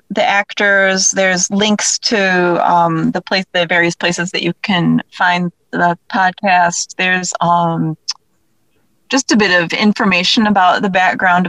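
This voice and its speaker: Low-pitched woman, casual and chatty. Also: fairly even intonation, takes it slowly, fairly bright tone, dry recording, rough recording. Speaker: interview guest, author and audio drama creator. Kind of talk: casual conversational interview.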